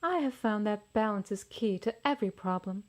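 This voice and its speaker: A woman's voice: medium pitch, moderate pace, natural voice. Serene storybook dinosaur aunt, played by a woman, sage and advising.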